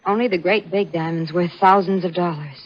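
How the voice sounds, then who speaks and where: A woman's voice: on the low side, fairly even intonation, fairly quick, very noisy, lightly acted. An American actress playing a housekeeper wife who coolly plots with her crooked husband, clipped and insistent in 1940s radio thriller style. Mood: cool, persuasive. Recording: muffled vintage radio recording.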